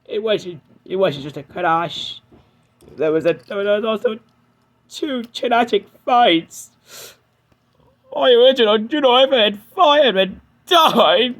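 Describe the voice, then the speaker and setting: A man voicing a touchy, hurt male steam engine in a fan-made cartoon dub, shaky and prone to yelling. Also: animated, takes it slowly, full character voice. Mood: distressed. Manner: shaky, high-strung, bursts into yelling.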